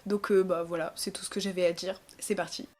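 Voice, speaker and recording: A young woman with a stuffy, nasal voice from a cold, narrating her skincare routine as a relaxed, chatty voice-over with frequent hesitations. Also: fairly low pitch, fairly quick, faint music behind. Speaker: beauty vlogger. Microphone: voice-over recorded separately.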